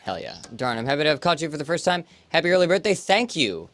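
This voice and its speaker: A man's voice: on the high side, fast talker, soft background music. A man in his late twenties, a streamer, chatting casually and rambling with lots of fillers. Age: 20s. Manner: relaxed, rambling, many fillers. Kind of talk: casual chat on a livestream.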